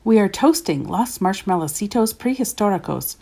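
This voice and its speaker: A woman's voice: mid-range voice, animated, moderate pace, a little mellow, voice pushed up. Woman doing rowdy little storybook Chihuahua dudes. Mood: playful. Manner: playful, mock-Spanish wordplay. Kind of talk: children's storybook character.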